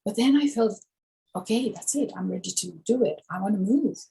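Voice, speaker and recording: A woman from Romania, a guest author, answering interview questions thoughtfully with many pauses and ums. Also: mid-range voice, lively intonation, vocal fry, dark tone, breathy edge, low-quality recording.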